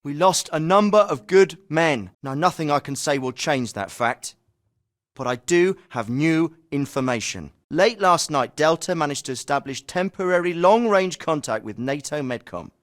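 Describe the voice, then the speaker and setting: A stern special forces commander. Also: male voice, on the high side, lively intonation, fairly bright tone. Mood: commanding. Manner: measured, clipped military briefing delivery. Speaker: military commander giving briefings. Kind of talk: video game voice acting. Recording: clean studio voice recording.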